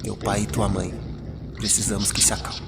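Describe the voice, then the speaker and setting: Horror story role: ghostly father, hoarse and hushed, grimly insistent, low register, acted by the male narrator, music underneath. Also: level tone, moderate pace. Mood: coaxing, eerie. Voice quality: hoarse, thin whisper. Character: ghost of dead father, grim, insistent, menacing.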